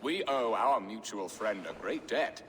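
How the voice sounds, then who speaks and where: An actor playing an indulgent, sly lord in a video game, with an unhurried, familiar manner. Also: male voice, lowish voice, lively intonation. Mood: genial. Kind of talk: dramatic video game acting. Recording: reverberant room with faint noise.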